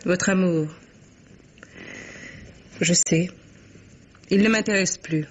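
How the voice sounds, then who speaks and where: Haughty Parisian mistress, muffled recording. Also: female voice, lowish voice, slow. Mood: scornful. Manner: theatrical, imperious, ironic. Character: haughty, romantic kept mistress, sharp-tongued Parisian woman. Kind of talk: 1950s French radio drama acting. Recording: dull vintage radio recording.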